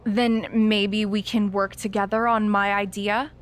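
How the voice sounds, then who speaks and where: A woman's voice: mid-range voice, level tone, a little slow, close to an everyday voice. An actress playing a scrappy, persistent young woman wrestler, pointed and earnest, in a scripted video game cutscene. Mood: eager, pitching.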